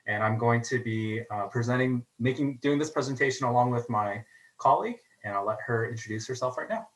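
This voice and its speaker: A man from a college's international office presents an online information session for new students. He speaks in a friendly way and fills his pauses with "um" and "uh" over a video call. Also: medium pitch, rough recording. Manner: friendly, filler-heavy delivery with frequent "um" and "uh". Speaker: international student services staff member.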